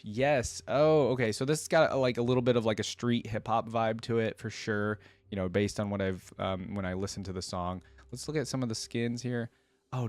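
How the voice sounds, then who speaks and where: A man's voice: fairly low pitch, no room sound. A man giving casual, unscripted reaction commentary as a video host, enthusiastic and rambling with informal, slangy wording.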